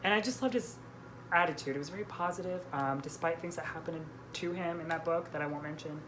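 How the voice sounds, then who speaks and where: Woman w a low voice, casual book vlog host, says like a lot, clear background noise. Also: fast. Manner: frequent "like" as filler. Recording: noisy room background.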